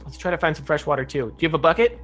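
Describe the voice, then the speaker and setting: Man playing a high-voiced earnest young survivor son. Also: racing, a bit bright, soft background music, lightly acted. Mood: concerned, explaining. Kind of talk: improvised video game roleplay.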